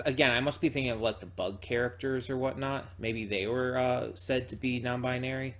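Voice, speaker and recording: Guy doing an off the cuff reaction, mid pitched voice, rambles and stumbles back over his words a lot. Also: fairly bright tone. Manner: rambling, halting, repeats words and hedges often. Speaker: reaction channel host. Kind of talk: unscripted casual reaction commentary.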